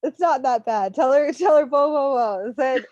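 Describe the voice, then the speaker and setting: High-voiced woman in a roomy, muffled recording. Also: normal speed. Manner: animated, informal, rambling, often giggly. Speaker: spiritual reader hosting a live readings session. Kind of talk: unscripted spiritual coaching chat. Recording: muffled, roomy, lo-fi online stream.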